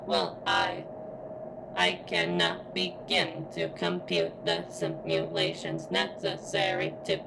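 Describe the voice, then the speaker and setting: Very low, creaky robot girl played by a woman. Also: noisy background, exaggerated voice. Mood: formal, strained.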